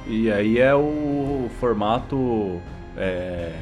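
A man's voice: fairly low pitch, expressive, very slow, darkish tone, faint music behind. A Brazilian man talking casually and informally as a podcast host. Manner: informal, slangy. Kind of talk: casual interview chat.

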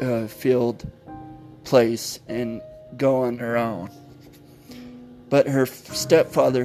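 A man's voice: mid-range voice, darkish tone. A man hosting an old-time radio podcast, summarizing a plot casually, often stopping to correct himself. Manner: halting, with frequent self-corrections. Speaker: podcast host. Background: music.

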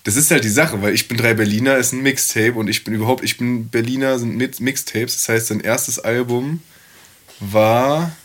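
Lively jokey man in podcast chat. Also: medium pitch, normal speed. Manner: lively, jokey, quotes rap lines. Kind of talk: casual chat.

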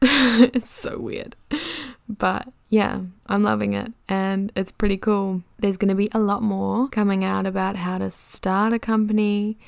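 Creaky-voiced young New Zealand woman. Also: medium pitch, darkish tone, no echo, studio quality. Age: young adult. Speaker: podcast host. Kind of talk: casual confessional podcast monologue.

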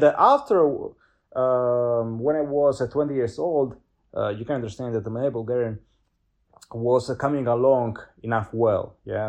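A man's voice: medium pitch, a little mellow. A young man with an Italian accent, a guest in an interview, speaking English as a second language with many fillers and restarts. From Italy. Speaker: guest polyglot. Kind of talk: casual interview chat.

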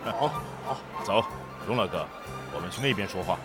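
A man's voice: fairly low pitch, expressive, normal speed, loud music behind the voice, close to an everyday voice. A man acting a genial, hearty middle-aged businessman socializing in an audio drama. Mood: hearty, polite.

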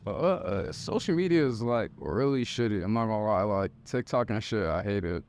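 A man's voice: on the low side, animated, fairly quick, darkish tone, slight background noise. A young man from the US in a casual group conversation, talking bluntly and loosely with plenty of swearing. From United States. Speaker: member of a creative group.

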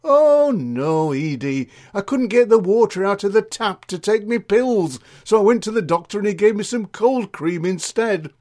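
A man's voice: sits high, lively intonation, normal speed, hardly any pauses, darkish tone, pitched above the performer's own voice. A man voicing a gossipy, indignant older woman in a comic children's story. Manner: exclaiming, indignant, music-hall gossip delivery. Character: gossipy comic older woman, a dim, chatty canteen lady.

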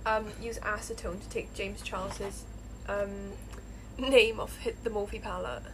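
A young woman with a slight lisp, co-hosting a casual podcast chat while getting ready to go out, chatty and quick to laugh. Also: mid-range voice, steady tone, noisy background. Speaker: podcast co-host.

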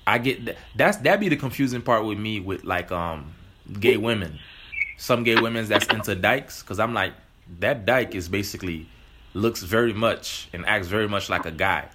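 A man's voice: lowish voice, normal speed, bright, forceful tone, a little hiss or hum. A male host in casual interview chat, who cuts in often with "hold on" and "wait.". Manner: interrupts, says "hold on" a lot.

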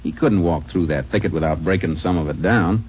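An actor playing a shrewd, matter-of-fact Texas Ranger investigator in a 1950s radio drama. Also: male voice, low voice, fairly quick, close to an everyday voice. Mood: businesslike, observant. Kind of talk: 1950s radio drama dialogue and first-person narration. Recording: muffled, hissy 1950s radio recording.

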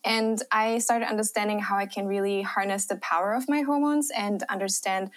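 A young woman with a light German accent speaks as a guest on a podcast, explaining her work as a cycle coach. She is friendly and relaxed, with a middle pitch for a woman. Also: moderate pace. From Thailand and Germany. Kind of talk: podcast interview answers.

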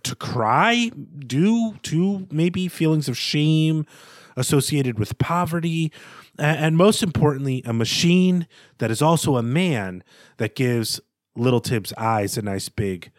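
A man's voice: a little high, animated, takes it slowly, no echo. A man co-hosting a podcast, discussing literature in a conversational, analytical way with some hesitant phrasing. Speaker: podcast co-host.

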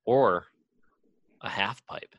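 Low-voiced man in dry, informal chat. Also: drawn-out words, no echo, muffled recording. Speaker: podcast co-host. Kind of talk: casual chat among friends.

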